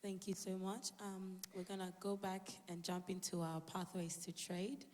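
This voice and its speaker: A woman's voice: medium pitch, flat delivery, normal speed, a little mellow. A woman hosting an event, making informal announcements to an audience and introducing speakers. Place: large indoor hall. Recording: echoey hall with long reverb.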